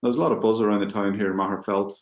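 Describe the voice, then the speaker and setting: A man's voice: lowish voice, fairly even intonation, very fast, roomy sound, muffled recording. A man with a Northern Irish accent, talking as a guest on a webinar in a conversational way with many fillers and restarts. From Northern Ireland. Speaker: guest speaker, packaging company manager. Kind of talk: webinar talk.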